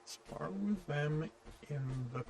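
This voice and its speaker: A man giving casual gameplay commentary, talking in a distracted, halting way. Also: fairly high pitch, a little mellow, slight background noise. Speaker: gamer playing on a stream or recording. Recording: game music clearly behind voice.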